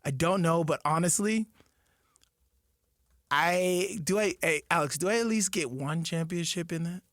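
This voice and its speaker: A man co-hosting a sports talk radio show, talking with excitement and thinking aloud. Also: a little high, measured pace, no room sound, studio quality. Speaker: fill-in co-host.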